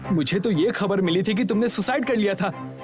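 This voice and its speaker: An earnest, worried elder brother seeking justice, acted by a man. His voice sits fairly high, in horror storybook style, with music clearly behind it. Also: brisk, natural voice. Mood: astonished, concerned. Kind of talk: horror storybook character acting.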